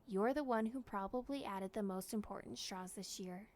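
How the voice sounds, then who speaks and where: A woman voicing a gentle, caring storybook mother who speaks softly and reassuringly to her young son. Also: mid-range voice, normal speed, lightly acted. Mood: tender, reassuring. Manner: soft, tender, reassuring.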